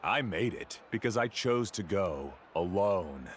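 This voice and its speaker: An actor playing an arrogant, dismissive guide-for-hire in a video game, with a haughty, scornful manner. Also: male voice, deep voice, lively intonation, a little slow, slight background noise. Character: arrogant, dismissive, mercenary male island guide.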